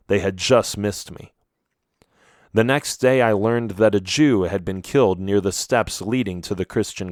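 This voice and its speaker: Low-voiced middle-aged man reading his memoir. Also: no room sound, clean studio sound. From Jerusalem. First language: Arabic. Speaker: author narrating his memoir. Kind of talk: audiobook memoir narration.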